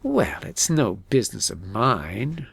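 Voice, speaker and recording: A man's voice: fairly low pitch, very animated, slow, creaky, slightly rough, no room sound, exaggerated voice. A man voicing a motherly, modest lady lark in a storybook, easily a little offended. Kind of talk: storybook character voice.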